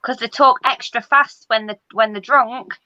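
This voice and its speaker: A woman's voice: a little high, steady tone, normal speed, sharp tone, no room sound, muffled recording. A woman from Nottingham with an East Midlands accent, chiming in with short comments on a casual video chat. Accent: East Midlands English. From Nottingham, England. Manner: chimes in briefly, laughs easily.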